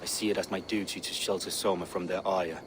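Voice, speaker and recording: An actor playing an intense, devout Viking seer, solemn, poetic and deliberate, in a video game cutscene. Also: male voice, deep voice, steady tone, background noise, close to an everyday voice. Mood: haunted, reverent.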